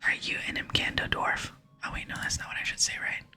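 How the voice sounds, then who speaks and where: A woman whispering in ASMR style, softly and slowly reading video game dialogue aloud. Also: soft background music. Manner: soft, slow, hushed reading. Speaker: ASMR gaming channel host.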